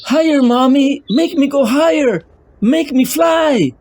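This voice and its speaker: An excitable little girl as a man puts her on in a comic-book drama. The voice is pitched very high and childlike. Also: a little slow, a little hiss or hum. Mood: excited.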